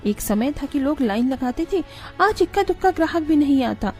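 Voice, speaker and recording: A woman's voice: high voice, expressive, moderate pace, a little mellow, faint music behind. A woman voicing a jealous, scheming female shop owner in a cartoon, haughty and spiteful.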